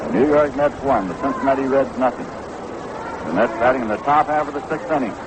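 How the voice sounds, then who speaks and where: A man's voice: mid-range voice, fairly quick. An American man calling baseball play-by-play on the radio in a 1960s commentary style, from a ballpark booth. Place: outdoor ballpark broadcast booth. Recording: noisy vintage 1960s radio broadcast.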